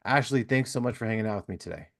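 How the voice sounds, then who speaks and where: A man's voice: lowish voice, rapid-fire, vocal fry, a bit bright. A man hosting a casual podcast interview in a folksy, courteous manner, moving between chat and plugs. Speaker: podcast host.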